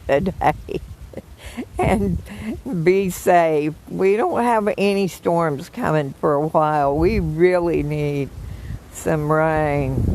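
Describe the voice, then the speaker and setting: An older woman with a hoarse, froggy morning voice, chatting gently and affectionately with live viewers from the woods. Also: fairly low pitch, slight background noise, poor audio. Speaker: vlogger greeting live viewers. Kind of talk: casual live chat. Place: outdoors in the woods.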